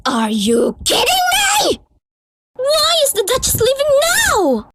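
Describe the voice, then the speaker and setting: A woman's voice: extremely high pitch, huge pitch swings, slow pace, shouting, heavy background noise, exaggerated voice. A woman voicing a refined young female cartoon pony fashionista, gushing and theatrical in a comic dub. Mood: frantic. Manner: posh, gushing, melodramatic.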